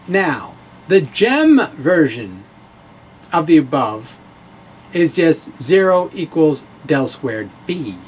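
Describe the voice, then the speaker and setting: A man with a fairly high voice reads in a wry, theatrical way, dropping into casual asides. His delivery is slow and swings widely in pitch. There is some faint noise behind him. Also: rough recording. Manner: wry, playful, theatrical emphasis. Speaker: physics blogger reading his own blog. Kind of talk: dramatic reading with casual asides.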